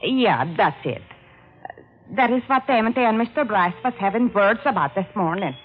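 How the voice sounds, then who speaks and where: A woman playing a nervous, eager housemaid with a foreign accent and halting, broken English in radio drama. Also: mid-range voice, expressive, soft background music, natural voice. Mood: earnest recalling. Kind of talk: 1940s-50s radio drama acting. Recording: tinny, phone-like old radio recording.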